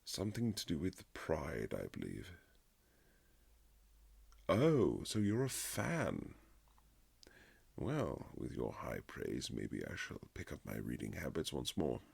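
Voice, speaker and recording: A man playing a courtly Regency nobleman husband, tender and gently teasing, in a husky romantic roleplay with formal, old-fashioned phrasing. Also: huge pitch swings, takes it slowly, long pauses, exaggerated voice. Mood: warm, fond. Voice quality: very low, husky, gravelly. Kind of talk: romantic boyfriend ASMR roleplay, period drama.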